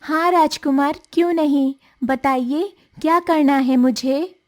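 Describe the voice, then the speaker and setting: Woman playing a high-voiced, deferential young village girl. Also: a little slow, a little mellow. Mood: polite, earnest. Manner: polite, deferential, earnest. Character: gentle, apologetic young village girl shunned as unlucky. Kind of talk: Hindi animated moral-story acting.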